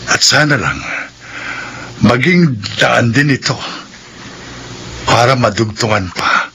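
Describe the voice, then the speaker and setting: An actor playing a concerned, probing middle-aged father in radio drama style, speaking in an earnest, deliberate way. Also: medium pitch, gravelly, sharp tone, slight background noise, exaggerated voice. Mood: earnest, tender. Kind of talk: Filipino radio drama acting.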